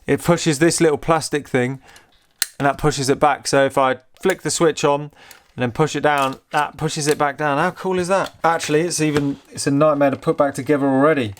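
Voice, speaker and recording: British man, slightly high voice, informal, excitable, thinking aloud, casual teardown commentary, faint noise and clicks behind. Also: normal speed. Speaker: host of a vintage technology museum channel.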